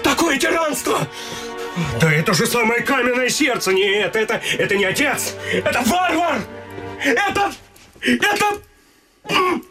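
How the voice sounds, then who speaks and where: A man's voice: extremely high pitch, very animated, unhurried, over music, exaggerated voice. A man playing an ardent, gallant young suitor in a classic comedy radio play, speaking in a florid, earnest, theatrical way. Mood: outraged.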